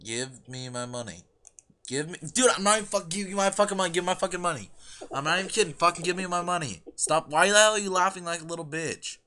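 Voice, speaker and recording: A man's voice: high-pitched, fast, sharp tone. A young man arguing on an internet voice call, aggressive and profane, demanding the same thing over and over. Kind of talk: heated argument on an internet voice call.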